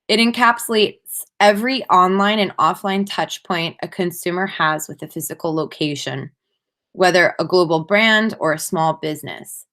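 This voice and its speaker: A woman delivering a prepared marketing presentation as a conference speaker, in a measured, scripted-sounding way with emphatic phrasing. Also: lowish voice, a bit bright.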